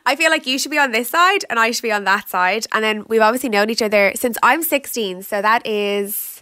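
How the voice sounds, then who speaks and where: A woman's voice: on the high side, brisk, sharp tone, clean tone, dry recording, clean studio sound. Chatty Irish woman podcast host. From Ireland. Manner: chatty, laughs often, teasing. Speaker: podcast host, skin expert. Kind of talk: casual chat podcast.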